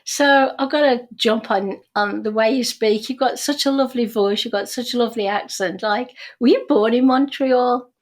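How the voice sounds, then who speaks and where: An older British woman with a slightly high voice, hosting an interview. She chats casually and effusively, with a self-deprecating streak. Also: moderate pace, hardly any pauses. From Britain. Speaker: interview host.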